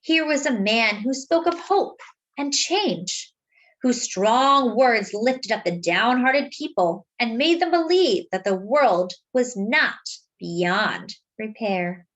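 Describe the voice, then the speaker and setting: A woman reading a children's picture book aloud, with dramatic, deliberate phrasing and drawn-out words. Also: fairly high pitch, lively intonation, a bit bright, rough recording. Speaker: children's story reader.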